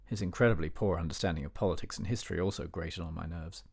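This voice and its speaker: A man acting as an educated, disapproving older gentleman narrating a memoir in a radio play, measured, formal and dignified. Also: low voice, gravelly, breathy edge, exaggerated voice. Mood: disdainful.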